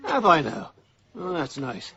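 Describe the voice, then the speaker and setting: An actor playing a blunt, ailing middle-aged down-and-out man with a rough, husky voice in a radio comedy-drama. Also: on the high side, very animated, unhurried, pushed and bright, highs cut off, full character voice. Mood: affable. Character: blunt, ailing down-and-out ex-drinker, an estranged husband.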